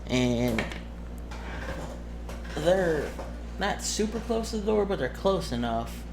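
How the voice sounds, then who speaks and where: A man working as game master, casually narrating a tabletop game in a halting way, muttering through dice sums. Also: on the high side, very animated. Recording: clearly noisy background.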